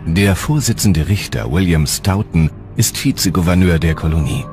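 A male narrator with a low, deep voice reads a historical documentary script. Music plays clearly behind him. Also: lively intonation, normal speed.

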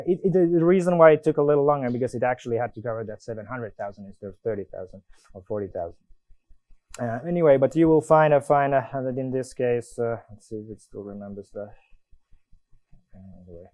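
A man with a Finnish accent gives a live coding demo at a conference. His voice is mellow and dark, and the sound is dry and close. He stops and restarts often and fills gaps with "uh". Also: mid-range voice, dry recording. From Finland. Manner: halting, many restarts and fillers. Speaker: conference presenter. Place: conference room.

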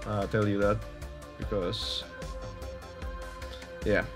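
Man, Spanish accent, young adult, low-pitched, halting commentary, faint music underneath. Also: level tone. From Spain. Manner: hesitant, many fillers and restarts, unsure hedging. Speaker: gaming YouTuber. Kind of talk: casual gameplay commentary.

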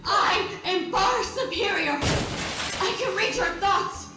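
A woman's voice: very high voice, takes it slowly. A woman acting an arrogant villainous mad scientist in an audio drama, drawn out, grandiose and contemptuous. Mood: gloating. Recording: heavily echoing, loud music.

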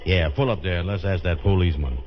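A muffled old radio comedy gives us a man playing a nervous, dim-witted blusterer. The voice is deep and low. He talks in a folksy, bossy way and garbles big words. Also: fast talker, noisy background, lightly acted. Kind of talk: 1930s radio comedy acting. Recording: dull, lo-fi 1930s radio recording.

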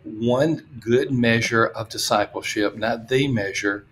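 Older podcast co-host, noisy call audio. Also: male voice, mid-range voice, takes it slowly, a bit bright. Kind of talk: conversational teaching discussion. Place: small room, remote video call.

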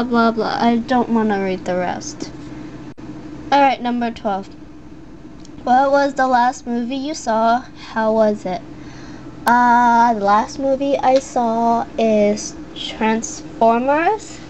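A woman's voice: high voice, slight background noise, poor audio. A teenage girl at home answering voice meme questions, hesitant and giggly, stumbling over words and full of fillers as she reads a passage aloud. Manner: hesitant, many fillers and restarts. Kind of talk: casual chat and halting reading aloud.

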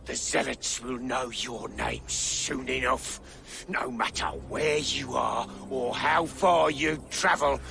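An actor playing a cornered, bitter older king who issues slow, menacing threats in a video game cutscene. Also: mid-range voice, vocal fry, noisy background. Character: cornered old Mercian king, bitter and defiant. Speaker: game character.

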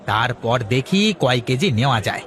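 A man's voice: medium pitch, expressive, normal speed, fairly bright tone, natural voice. A man voicing brash village market folk in a cartoon, with a blunt, teasing, colloquial manner. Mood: pushy. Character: brash male market vendor and haggling customer, teasing, grumbling. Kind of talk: cartoon character dialogue.

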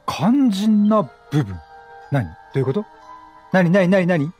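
A man giving chatty, casual, reactive commentary on a video game, often repeating words in quick bursts. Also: high-pitched, sing-song, darkish tone. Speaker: video game let's-play host. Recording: game music under the voice. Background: video game music and dialogue.

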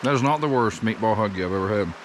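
A man in his thirties talking to the camera while cooking outdoors at a wooded campsite, rambling and easygoing as he thinks out loud. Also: lowish voice, quick pace, background noise. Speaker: camping cook vlogger.

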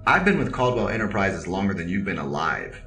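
An actor playing a stoic, deadly veteran military officer in an audio drama, terse, literal and deadpan. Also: low-pitched, fairly quick, roomy sound, distant mic, soft background music, heavily acted. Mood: firm, grave.

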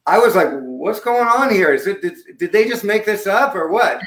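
A middle-aged man with a low voice, chatting loosely about his music over a video call, with many fillers and restarts. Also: lively intonation, normal speed, a bit bright. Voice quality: lower-range voice. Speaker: musician guest. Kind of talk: casual interview chat. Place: home room indoors. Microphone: video call webcam. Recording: echoey room on webcam.

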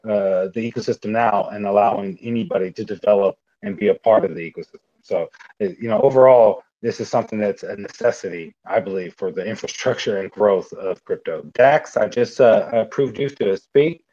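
A man hosting a live audio Q&A, talking in quick, repetitive bursts with fillers and restarts. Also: lowish voice, warm tone, no echo. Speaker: host. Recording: dull, dry online audio.

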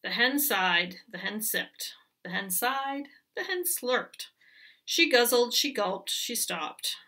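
Lively, bright-voiced woman reading a picture book. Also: on the high side, slow pace, recorded from a distance. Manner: expressive, rhythmic storytelling. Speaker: teacher reading aloud. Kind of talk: children's picture book read-aloud.

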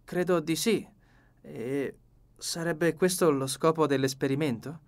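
A man's voice: a little high, a little slow, voice pushed up. A man voicing a polite, hesitant and puzzled young lady in an audiobook. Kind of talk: audiobook character reading.

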